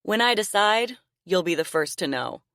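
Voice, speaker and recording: A woman's voice: medium pitch, animated, normal speed, a bit bright, no echo, studio quality, pitched above the performer's own voice. A woman voicing a cruel man who taunts his captive in a smug, mocking way.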